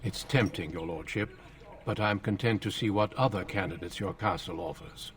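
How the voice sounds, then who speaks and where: Low, grave fantasy warrior, distant. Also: male voice, a bit bright, breathy edge, exaggerated voice. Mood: measured. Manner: formal, measured, solemn. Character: grave, courteous warrior recruiter of a fantasy order. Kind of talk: fantasy game voice acting.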